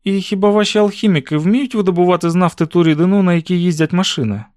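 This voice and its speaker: A man's voice: sits high, fast, darkish tone, no room sound, clean studio sound, voice pushed up, lightly acted. A man voicing a spirited, curious young country girl with a guarded, tart streak in an audiobook story. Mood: eager, inquisitive.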